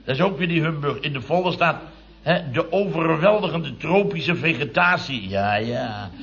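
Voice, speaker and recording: An actor playing a grumbling middle-aged building contractor boss who spins chatty anecdotes in a vintage radio comedy. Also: male voice, fairly high pitch, animated, normal speed, a bit bright. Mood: indignant storytelling. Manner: chatty, grumbling anecdote telling with tag words. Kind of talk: 1960s-70s Dutch radio comedy acting. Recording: dull vintage radio recording.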